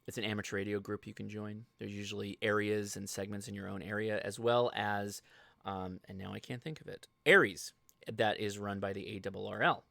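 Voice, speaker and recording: An American man hosting a live show, giving a casual, informal software walkthrough and thinking aloud as he goes. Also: fairly low pitch, steady tone, fairly quick, creaky, no room sound. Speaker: host of a live ham radio show.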